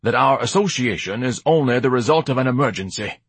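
A man's voice: medium pitch, expressive, fairly quick, breathy edge, dry recording, voice pushed up. A man voicing a pompous, indignant, gluttonous educated man with grandiose, haughty diction in an audiobook. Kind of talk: audiobook character acting.

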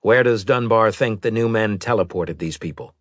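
A man's voice: fairly low pitch, moderate pace, a bit bright, no room sound, clean studio sound, pitched above the performer's own voice. A man playing an authoritative, probing male military leader who talks tersely in a science fiction audiobook. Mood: probing, serious.

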